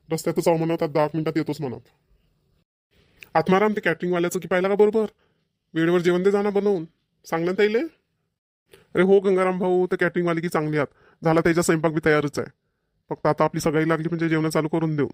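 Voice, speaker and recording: Fast-talking village man played by a man, Vidarbha Marathi. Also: on the high side, little pitch movement, a little mellow, dry recording, clean studio sound, natural voice. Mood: practical, brisk. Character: sociable, practical village family men in a cartoon. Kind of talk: cartoon comedy dialogue.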